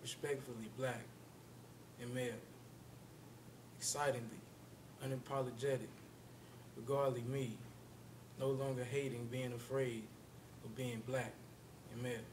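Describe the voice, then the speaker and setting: Man, solemn poetry recitation, slow and even delivery, rumbling noisy recording. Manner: measured, solemn, deliberate. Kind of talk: spoken-word poetry recitation. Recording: rumbling background noise.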